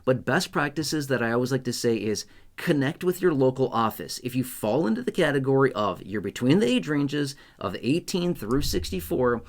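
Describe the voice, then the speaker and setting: A man's voice: medium pitch, sing-song, moderate pace. A man hosting an explainer video, talking casually and reassuringly straight to his viewers. He repeats key points and checks in often with "okay?". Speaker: YouTube explainer host.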